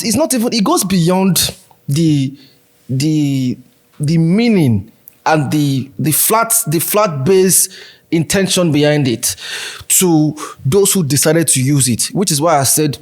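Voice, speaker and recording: A Nigerian man joins a podcast discussion, animated and quick to jump in. He often restarts his sentences mid-thought. His voice sits a little higher than most men's. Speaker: podcast co-host. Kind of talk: casual discussion.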